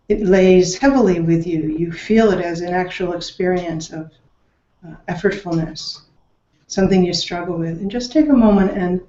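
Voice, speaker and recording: A woman meditation teacher leading a guided practice in a measured way, with long pauses and gentle directives. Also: on the low side. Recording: roomy echo, dull lo-fi sound.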